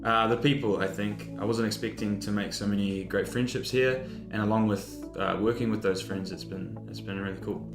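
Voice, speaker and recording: A man from New Zealand speaks plainly and easily in a fairly low voice. The room echoes a little, with music beneath. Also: fairly even intonation, a little fast, few pauses. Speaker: composer. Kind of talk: casual interview answers. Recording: roomy sound with music.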